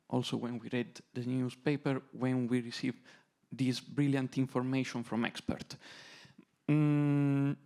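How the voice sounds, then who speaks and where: A man with an Italian accent, a non-native English speaker, talking on a conference panel in measured, halting phrases. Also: medium pitch, creaky. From Italy.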